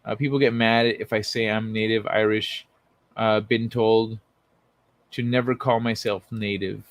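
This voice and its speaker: A man's voice: on the low side, unhurried, dry recording. Casual male livestreamer, dry close sound. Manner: informal, excitable, swears freely. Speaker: livestream host. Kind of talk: casual livestream chat with reading aloud of articles.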